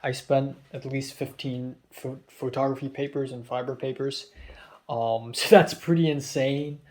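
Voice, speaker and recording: A young man chatting casually in a vlog, tasting food and recounting his day, his voice a little raspy after a long phone call. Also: medium pitch, unhurried. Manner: relaxed, chatty, drifting between soft murmurs and louder remarks. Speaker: vlogger.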